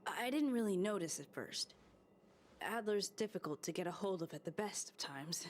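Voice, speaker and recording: An actress playing an earnest, worried security officer in a video game, serious and plainspoken. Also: female voice, mid-range voice, animated, normal speed, a little hiss or hum, natural voice.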